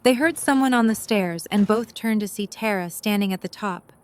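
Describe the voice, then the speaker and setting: A woman's voice: mid-range voice, moderate pace. A woman reading scripted story narration as the narrator of an audio drama.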